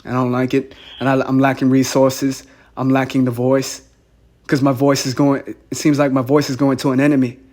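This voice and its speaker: A man's voice: medium pitch, completely flat delivery, fairly quick, a little mellow. A man calling in, earnest and insistent, often repeating himself. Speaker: caller. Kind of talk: phone call.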